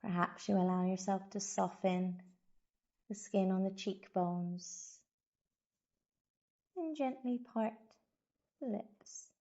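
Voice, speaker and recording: Female meditation guide, soothing and very unhurried, creaky low-key delivery, slightly muffled lo-fi recording. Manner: soothing, unhurried, gentle instruction with long pauses. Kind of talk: guided body scan meditation.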